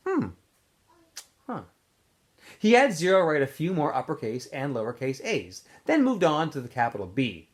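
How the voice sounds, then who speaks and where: A man, a teacher, reading a novel aloud in a relaxed way with small slips and fillers. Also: a little high, normal speed, pauses often.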